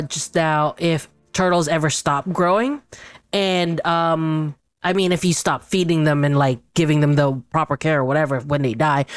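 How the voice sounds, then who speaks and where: A woman's voice: low voice, no echo, studio quality. A woman in casual chat, conversational and a little tentative as she thinks aloud.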